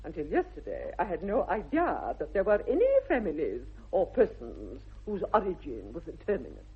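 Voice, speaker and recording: Imperious, swooping aristocratic English matriarch. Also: female voice, on the low side, huge pitch swings, normal speed, breathy edge, heavily acted. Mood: imperious disapproval. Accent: upper-class English. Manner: haughty, declamatory, precisely articulated. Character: imperious, formidable aristocratic English mother and aunt. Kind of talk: 1960s radio comedy acting. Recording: tinny, band-limited vintage radio recording.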